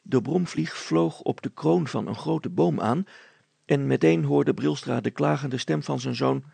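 A man reading a story aloud as an expressive narrator. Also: medium pitch, measured pace, gravelly, slightly rough, dry recording.

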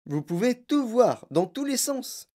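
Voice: mid-range voice, sing-song, dark tone, pitched above the performer's own voice. A narrator voicing an exuberant, eccentric factory owner in a storybook, proud, gallant and emphatic. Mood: proud, excited. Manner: emphatic, brisk, proclaiming.

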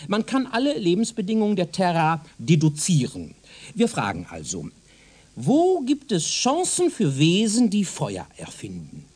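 A self-assured professor from the future in a radio play. His husky voice swings dramatically up and down as he lectures. The manner is pedantic and condescending, full of rhetorical emphasis. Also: high voice, wildly swooping pitch, measured pace, a little mellow, full character voice. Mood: lecturing. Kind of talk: German radio drama acting, lecturing dialogue.

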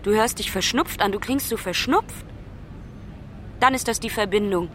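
An actress playing a travelling daughter on the phone to her mother in a naturalistic radio drama, halting and often breaking off mid-sentence. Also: medium pitch, fairly bright tone, a little hiss or hum, close to an everyday voice. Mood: tender, delighted.

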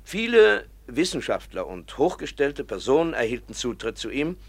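An earnest, principled schoolteacher in a radio play. He speaks at a middle pitch with steady conviction. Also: moderate pace. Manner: earnest, reads aloud from an official report. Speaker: professor and teacher.

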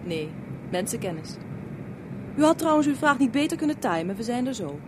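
An actress playing a cool, haughty young millionaire's stepdaughter in a radio drama, curt and cutting. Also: female voice, on the high side, sing-song, normal speed, background noise.

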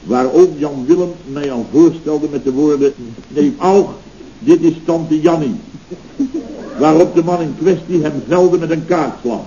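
A man's voice: on the high side, a little slow, mellow, natural voice. A man playing a pompous, indignant, self-satisfied building contractor in a scripted radio comedy, blustering and rambling in grand words. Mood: blustering, evasive. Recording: dull, noisy old radio recording.

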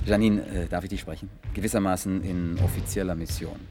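A man's voice: deep voice, fairly even intonation, a little fast, over music. An actor playing a polite, earnest young man in a German radio play, courteous and inquiring with slight hesitation. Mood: friendly, tentative.

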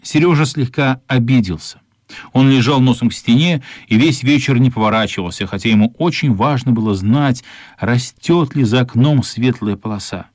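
A man narrating a children's story as scripted radio drama, with expressive, unhurried dramatic phrasing and a husky voice. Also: medium pitch, no echo, studio quality.

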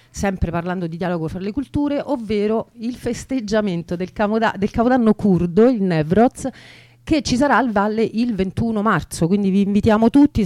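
A woman's voice: medium pitch, a little mellow, no room sound. Chatty woman co-hosting radio, dry sound. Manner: informal, colloquial. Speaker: radio show co-host. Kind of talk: chatty event announcements.

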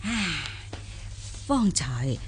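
A woman's voice: medium pitch, big pitch swings, extremely slow, a little hiss or hum. A woman playing a humble, curious old country granny in a Cantonese audio drama, hesitant and full of simple wonder. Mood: puzzled, curious.

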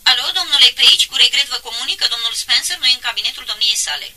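A woman playing a polite, brisk telephone operator in a radio drama, formal in manner. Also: high-pitched, pushed and bright, exaggerated voice. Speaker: actor.

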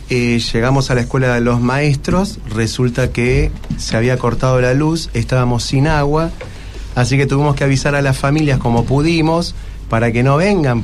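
Argentine male teacher over clear background noise. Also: medium pitch. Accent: Argentine, Buenos Aires. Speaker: schoolteacher, studio guest. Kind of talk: casual recounting in a radio interview.

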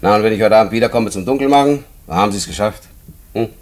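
A man's voice: on the low side, rapid-fire, noisy background, close to an everyday voice. An actor playing a matter-of-fact male prison inspector in a German radio play, talking casually and condescendingly in coaxing questions. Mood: breezy, patronising.

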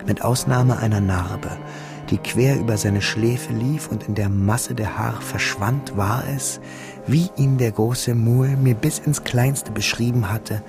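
An actor narrating a literary radio drama as a reflective, wistful man looking back on his schooldays, measured and solemn. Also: mid-range voice, music in the background, lightly acted. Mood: solemn, hushed.

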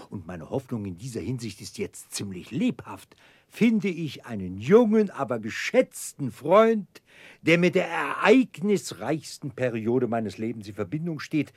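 A grandiose, genteel debtor in a radio play. His husky voice rises and falls theatrically through ornate phrases, with pompous hesitations. The recording is dry and close. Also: mid-range voice, dry recording. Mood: pompous, effusive. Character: pompous, florid, genteel middle-aged debtor gentleman, grandly self-important. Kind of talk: German radio play acting.

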